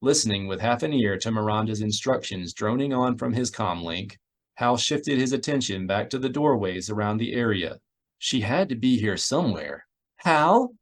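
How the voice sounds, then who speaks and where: A man's voice: lowish voice, lively intonation, moderate pace. A man reading a science fiction novel aloud as scripted narration. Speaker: audiobook narrator. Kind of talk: scripted narration of a sci-fi novel, with character voices.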